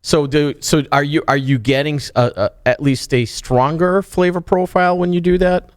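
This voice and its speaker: A man's voice: on the high side, steady tone, moderate pace. A man co-hosting a podcast in casual chat, asking follow-up questions and often checking in with "right?". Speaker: podcast co-host.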